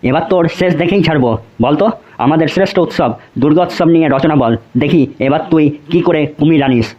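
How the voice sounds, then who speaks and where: Man as a fast-talking exasperated cartoon schoolmaster, slightly muffled. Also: a little high, darkish tone, natural voice. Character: exasperated, scolding village schoolmaster, easily driven to despair. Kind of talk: comedy cartoon voice acting.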